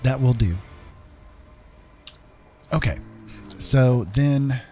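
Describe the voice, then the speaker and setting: A man's voice: mid-range voice, extremely slow, warm tone, faint music behind. A middle-aged man casually commentating as he plays a game live, friendly and rambling, often talking to himself. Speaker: game streamer.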